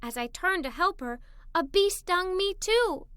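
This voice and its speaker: A high, bright female voice tells a children's story with animated, swooping intonation. She is expressive and dramatic, taking her time over the phrases. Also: measured pace, dry recording, clean studio sound. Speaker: storybook narrator. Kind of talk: animated read-aloud for young children.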